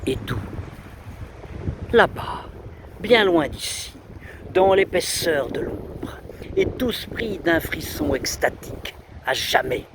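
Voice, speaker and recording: A man recites poetry in a solemn, declamatory manner with dramatic pauses, outdoors by the sea. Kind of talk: outdoor recitation of a 19th-century poem. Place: outdoors under trees on a windy seashore. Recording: loud wind buffeting the mic, roaring sea. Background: wind and rumbling sea.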